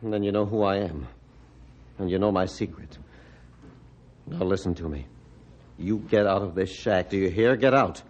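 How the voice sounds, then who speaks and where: A man acting a bitter, gruff old hermit doctor in an old-time radio drama, with halting rustic speech and a defensive, threatening manner. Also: sits low. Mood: angry. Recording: muffled, band-limited vintage radio recording.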